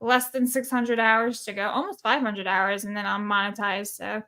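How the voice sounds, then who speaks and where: A woman guest on a livestream, chatting casually and telling stories in an animated way with lots of laughter. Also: medium pitch, normal speed. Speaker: guest streamer.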